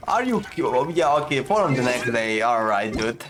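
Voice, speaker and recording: A man's voice: medium pitch, sing-song, moderate pace, fairly bright tone, slight background noise. A man giving casual, slangy, playfully exasperated commentary as he plays through a video game. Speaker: gaming video host. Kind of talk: casual reactive playthrough commentary. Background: game music.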